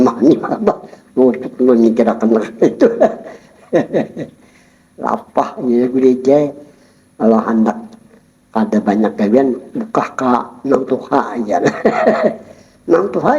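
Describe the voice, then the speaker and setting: A man giving a Sufi study-circle lecture, explaining calmly and chuckling while he addresses his listeners. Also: medium pitch. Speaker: religious teacher. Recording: dull lo-fi recording.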